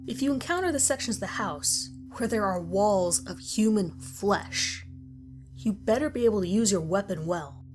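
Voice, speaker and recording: A woman's voice: medium pitch, darkish tone, lightly acted. Woman acting a grim, ominous horror survivor. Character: grim woman survivor narrating deadly rules of a monster house. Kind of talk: scripted horror story narration. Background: music.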